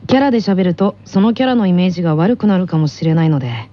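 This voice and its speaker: A woman's voice: fairly low pitch, lively intonation, fast, lo-fi sound. A woman casually hosting a radio show and putting questions to guests.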